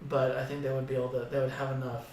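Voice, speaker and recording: A man of medium pitch chats casually on a gaming podcast, thinking aloud haltingly. He sounds set back from the microphone in a very reverberant room, with faint ambient noise. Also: little pitch movement, darkish tone, recorded from a distance. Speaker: podcast co-host.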